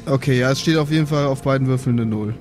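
Casual male tabletop player, mid-pitched. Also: fast, darkish tone, faint music behind. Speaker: tabletop role-play player. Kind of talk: casual game table chat.

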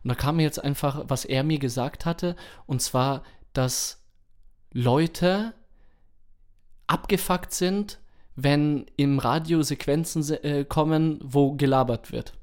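A man's voice: fairly high pitch, takes it slowly. A man co-hosting a podcast in casual, colloquial chat with slangy turns of phrase. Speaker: podcast co-host.

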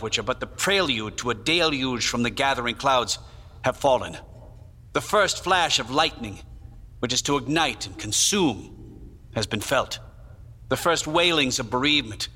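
An actor playing a grave, fiery nineteenth-century abolitionist publisher giving a solemn, declamatory dramatized news report. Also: male voice, mid-range voice, pushed and bright. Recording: long hall-like reverb.

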